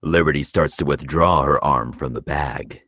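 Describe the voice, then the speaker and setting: A man narrating a screenplay at a table read in a measured storytelling delivery. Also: very deep voice, a bit bright, no room sound, clean studio sound. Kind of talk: scripted narration of stage directions.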